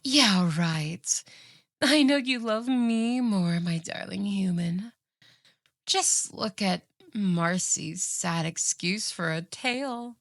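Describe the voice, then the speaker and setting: A woman's voice: medium pitch, expressive, slow pace, dry recording, clean studio sound. A woman voicing a clingy, boastful, obsessive fox girl in an ASMR roleplay, coaxing and doting in a theatrical way. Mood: smug, scornful.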